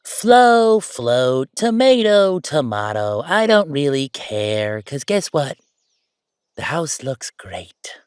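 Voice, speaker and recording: Casting: male actor for playful, bossy male ghost roommate, sentimental underneath, very lively pitch, dry close sound, audio roleplay. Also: clear, resonant tone, no room sound. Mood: excited, teasing. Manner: animated, teasing, banter with pauses and repeats. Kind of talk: roleplay audio drama monologue, conversational.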